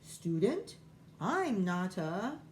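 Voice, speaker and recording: A woman's voice: medium pitch, big pitch swings, very slow, a little mellow, slight background noise. A woman voicing a sleepy, reluctant young male dog pupil in a storybook, hesitant and mildly protesting. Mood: confused. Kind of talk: storybook read-aloud character voice.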